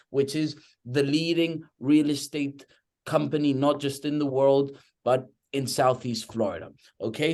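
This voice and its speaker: A man's voice: medium pitch, a little mellow. A young man from Madrid with a Spanish accent, hosting a real estate webinar enthusiastically and often checking in with "okay?". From Spain (Madrid). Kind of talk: webinar presentation.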